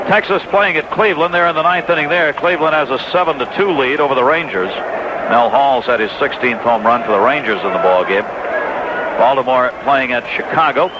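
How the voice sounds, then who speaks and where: American baseball announcer on dull, noisy radio. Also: male voice, mid-range voice, a little fast. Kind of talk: live radio baseball commentary with out-of-town scores. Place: stadium broadcast booth. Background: loud ballpark ambience and noise.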